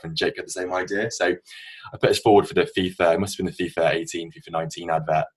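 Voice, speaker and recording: A man's voice: low-pitched, husky. A man in his twenties with a southern English accent, co-hosting a podcast with chatty, sweary, self-deprecating storytelling. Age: 20s. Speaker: podcast co-host. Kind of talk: casual anecdote telling between friends.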